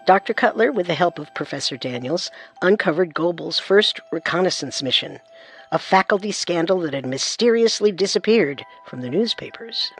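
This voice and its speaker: A woman's voice: fairly low pitch, animated, moderate pace, breathy edge. Composed woman narrating true crime over music. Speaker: narrator, co-host.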